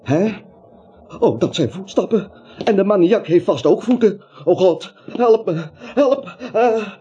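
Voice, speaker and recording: Nervous wimpy little man in an old radio drama, rambly and stuttery, real lively pitch, muffled sound. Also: slow, slightly rough, full character voice. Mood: panicked. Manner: jittery, rambling, stammering. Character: anxious, timid little man, a cowardly reluctant vigilante. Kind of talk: radio play acting. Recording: dull, slightly noisy radio recording.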